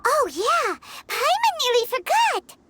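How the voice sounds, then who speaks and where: A woman voicing a tiny, childlike fairy companion in a video game, squeaky, blunt and emotive. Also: huge pitch swings, takes it slowly, noisy background, full character voice. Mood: earnest, curious. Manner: blunt, emotive, refers to herself by name. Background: game music.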